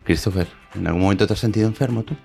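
A man's voice: on the low side, rapid-fire, gravelly, dark tone, soft background music. A man from hosts a conversational interview in an encouraging way. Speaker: interview host.

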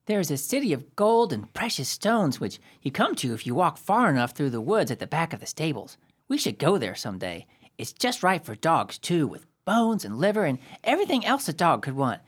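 A woman's voice: low-pitched, sing-song, moderate pace, slightly rough, heavily acted. A woman playing a lonely, dreamy young boy in a radio play.

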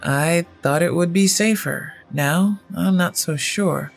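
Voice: mid-range voice, slow, vocal fry, soft background music. A storyteller voicing a guarded, fanatically determined male inventor who explains himself in a formal, grave manner in an audio story.

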